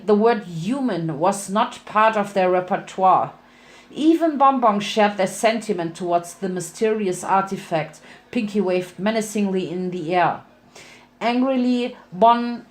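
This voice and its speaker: A woman reads a story aloud in a dramatic narrating style. Her pitch sits in the middle for a woman, and she sometimes trips on a phrase and goes back over it. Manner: stumbles over words and rereads phrases. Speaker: fan fiction reader.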